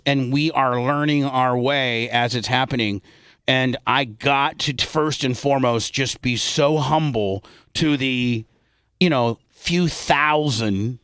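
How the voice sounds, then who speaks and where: A man's voice: medium pitch, fairly bright tone, no echo, studio quality. A man hosting a radio show with unscripted talk, blunt and salty in the way he speaks.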